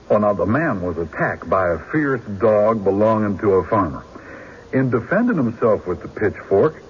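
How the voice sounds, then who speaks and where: Animated, folksy country lawyer. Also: male voice, mid-range voice. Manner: folksy storytelling with dry humor. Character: folksy, wry country lawyer arguing in court. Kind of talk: radio drama acting. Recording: dull, noisy old radio broadcast.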